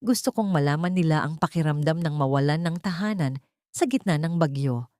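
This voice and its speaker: A woman's voice: low-pitched, fairly quick, no room sound, studio quality, natural voice. A woman playing a steely young heiress bent on revenge in a dramatic audio story, speaking directly and without wavering. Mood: vengeful. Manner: sharp, direct, unwavering.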